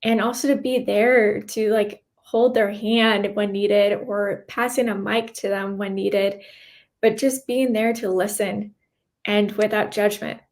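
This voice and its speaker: A woman's voice: mid-range voice, steady tone, slow, a little mellow. A woman guest on a podcast, explaining her views in an earnest, conversational way and often checking in with the listener. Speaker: podcast guest, cybersecurity professional. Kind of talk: casual conversational interview answers.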